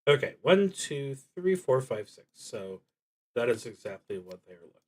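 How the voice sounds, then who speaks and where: A man streaming a solo tabletop game, thinking aloud in a halting, deliberate way and often trailing off. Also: medium pitch, big pitch swings. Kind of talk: thinking aloud while playing a solo card and dice game.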